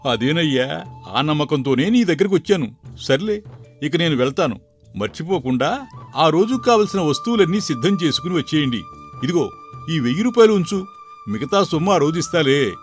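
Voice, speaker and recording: A man's voice: fairly high pitch, big pitch swings, normal speed, long pauses, soft background music. A man voicing a well-off village father who hires cooks, chatty and patronising, in a cartoon moral story. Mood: pleased, expansive.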